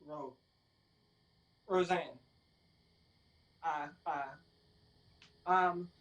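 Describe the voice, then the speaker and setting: An actor in a radio drama playing a sheepish, pleading young man with an Appalachian accent, halting and apologetic. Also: on the high side, a bit bright. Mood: desperate pleading. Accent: Appalachian American.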